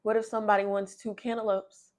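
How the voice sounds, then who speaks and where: A woman's voice: mid-range voice, flat delivery, brisk, lightly acted. Woman voicing an earnest, kindly little girl. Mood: curious.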